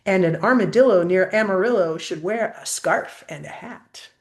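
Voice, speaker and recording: A woman's voice: mid-range voice, moderate pace, natural voice. A woman voicing a curious, wondering male armadillo traveler in a storybook, earnest and full of questions. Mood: chatty.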